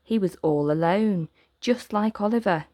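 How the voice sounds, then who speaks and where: A woman reading a children's story aloud as narrator, with gentle, expressive, deliberate phrasing. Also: mid-range voice, no echo, studio quality.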